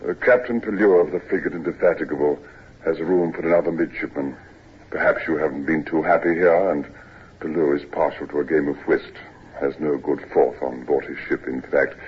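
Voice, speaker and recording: An actor playing a weary, ailing older naval captain, stern but kindly, in a measured, hesitant old-time radio drama style. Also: male voice, a bass voice, steady tone, a little hiss or hum. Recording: dull old radio recording.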